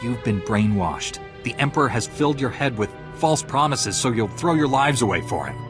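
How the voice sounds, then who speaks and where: An actor playing a righteous Jedi knight in a video game, firm, earnest and controlled. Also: male voice, mid-range voice, animated, normal speed, over music, natural voice. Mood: defiant, firm. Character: resolute, righteous Jedi knight, male hero. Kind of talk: dramatic video game hero acting.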